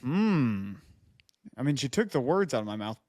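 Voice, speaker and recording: A man's voice: medium pitch, big pitch swings, moderate pace, darkish tone, dry recording. A man co-hosting a casual comedy podcast, bantering and reading aloud, breaking off into quips and asides. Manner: interrupts his own reading with quips and asides. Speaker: podcast co-host.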